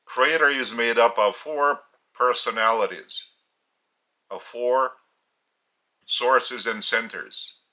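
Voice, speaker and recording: A man from Lithuania with a Lithuanian accent, a spiritual teacher speaking in short, halting phrases, his native language being Lithuanian. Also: medium pitch, sharp tone, low-quality recording. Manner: halting, phrase-by-phrase delivery with pauses. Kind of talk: slow spiritual teaching talk.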